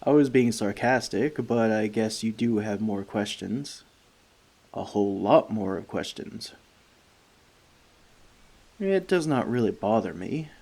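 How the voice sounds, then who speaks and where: A man playing a friendly forest elf in an ASMR roleplay, talking to the listener with dry, gentle teasing. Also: fairly low pitch, animated, slow, long pauses, heavily acted. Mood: playful, teasing.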